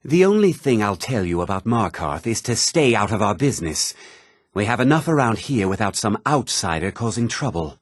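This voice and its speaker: An actor playing a haughty, put-upon fantasy townsman in a video game, husky, theatrical and often sarcastic. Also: lowish voice, very animated, moderate pace, no echo, studio quality. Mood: scornful, irritable. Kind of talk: video game character dialogue.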